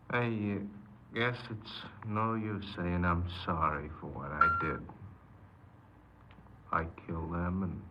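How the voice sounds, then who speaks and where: Low-voiced brooding killer. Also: male voice. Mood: weary resignation. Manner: halting, brooding, resigned confessional delivery. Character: condemned young male killer confessing, bitter and paranoid. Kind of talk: 1950s radio crime drama acting. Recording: dull, noisy 1950s radio recording.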